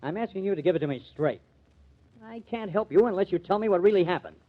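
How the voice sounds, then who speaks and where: Thin-sounding, high-voiced shrewd investigator. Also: male voice, animated, fast talker, narrow, tinny audio. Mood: pressing. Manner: urgent, probing, emphatic. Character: shrewd, pressing male investigator and confidant. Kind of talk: 1950s radio mystery acting. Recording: thin, band-limited old radio recording.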